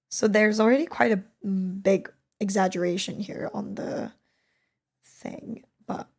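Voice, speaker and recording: Raspy-voiced young woman musing while drawing. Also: a little high. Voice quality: raspy, hoarse-sounding today. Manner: rambling, self-correcting, soft and half-whispered at times. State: voice worn from streaming. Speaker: digital artist. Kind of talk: casual talk-along while drawing. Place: at home, drawing on a tablet. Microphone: voice-over mic, speaker unseen, only hands.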